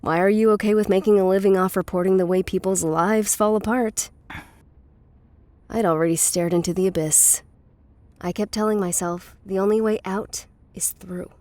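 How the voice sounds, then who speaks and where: An actress playing a weary, guarded middle-aged woman with a bitter past in a naturalistic, measured audio drama performance. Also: mid-range voice, steady tone, warm tone, dry recording, lightly acted.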